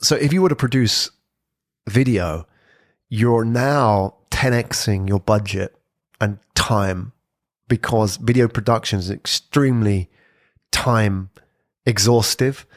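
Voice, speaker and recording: A man's voice: lowish voice, slow pace, pauses often, no echo, clean studio sound. A man hosting his own podcast, explaining points step by step in a conversational way that often hedges with "sort of". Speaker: podcast host. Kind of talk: solo podcast commentary.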